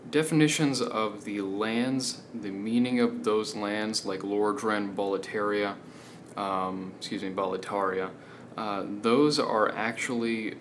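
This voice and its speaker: A man hosting a casual explanatory commentary, hesitant as he goes and often checking in with the listener. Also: on the low side. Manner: hesitant, checking in with "right?" and "okay?". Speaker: video essay host. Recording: steady background noise.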